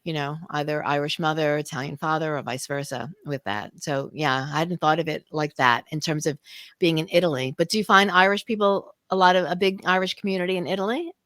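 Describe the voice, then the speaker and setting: A woman's voice: deep voice, steady tone, brisk, fairly bright tone, dry recording. A woman who grew up in New York, co-hosting a casual chat and asking curious follow-up questions. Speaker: co-host.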